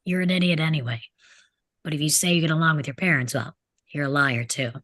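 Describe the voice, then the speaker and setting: A woman's voice: low voice, fast, fairly bright tone, no room sound, studio quality. A woman reading the part of a mocking, crude teenage delinquent boy at a table read of a film script. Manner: mocking, needling, insolent.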